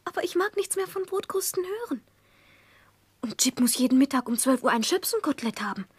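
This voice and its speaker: A very high-voiced young fiancée from a vintage radio drama. She is childish and easily frightened, pouting and pleading like a spoiled girl. The sound is close and dry. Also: very animated, fairly quick, dry recording. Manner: girlish, pouting, whiny pleading. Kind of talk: 1960s German radio drama acting.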